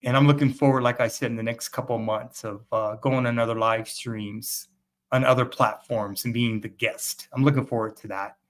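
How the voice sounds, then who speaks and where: A man in his fifties hosting a livestream, speaking earnestly and emphatically as he repeatedly addresses the fathers watching. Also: mid-range voice. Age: 50s. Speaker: host and fatherhood author. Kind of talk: motivational talk to viewers. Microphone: webcam.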